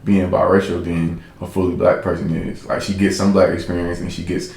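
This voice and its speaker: Deep-voiced man giving emphatic opinions. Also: little pitch movement, fairly quick, creaky, darkish tone, roomy sound, a little hiss or hum. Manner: emphatic, drawing out key words. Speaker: podcast co-host. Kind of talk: casual opinion chat.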